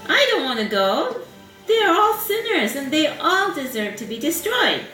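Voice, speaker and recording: A woman's voice: sits high, sing-song, moderate pace, sharp tone, pitched above the performer's own voice, heavily acted. A woman voicing Jonah, a reluctant, whiny runaway prophet, in an exaggerated plaintive puppet show style. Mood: indignant. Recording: roomy sound with music.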